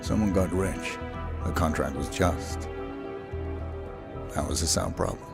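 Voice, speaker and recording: A man playing a cold, terse professional assassin in a video game cut-scene, speaking in clipped, deadpan phrases. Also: low voice, measured pace, vocal fry. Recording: loud music behind the voice. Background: loud music.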